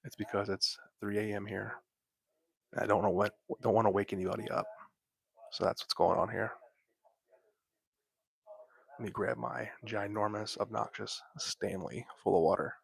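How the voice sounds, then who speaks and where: A man's voice: fairly low pitch, fairly even intonation, moderate pace, gravelly, long pauses, husky, no room sound. Man whispering close to the mic. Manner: soft whispering, laid-back and chatty. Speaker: livestream host. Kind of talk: whispered late-night livestream chat. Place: at home, lying in bed. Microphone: close microphone.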